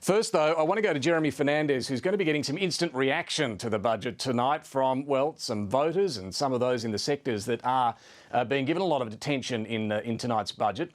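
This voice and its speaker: Male news anchor, Australian, mid-pitched voice, live political interviewing and links. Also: fast talker.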